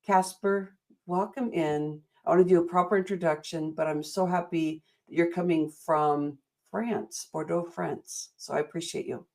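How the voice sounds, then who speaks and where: Upbeat American woman host, fairly low voice, rambling and affirming. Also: steady tone, moderate pace, a little mellow. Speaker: interview host. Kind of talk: upbeat motivational interview.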